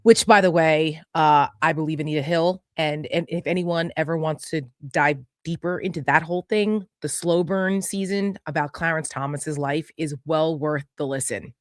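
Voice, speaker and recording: A woman's voice: deep voice, moderate pace, hardly any pauses, dry recording, studio quality. A woman co-hosting a political talk, reading messages aloud and adding conversational commentary.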